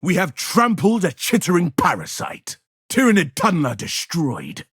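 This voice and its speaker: An actor playing a stern, righteous space-marine warrior in a video game, delivering pompous battle declarations in a gravelly, husky voice. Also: male voice, high-pitched, wildly swooping pitch, moderate pace, a bit bright, dry recording, clean studio sound, heavily acted. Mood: triumphant, zealous.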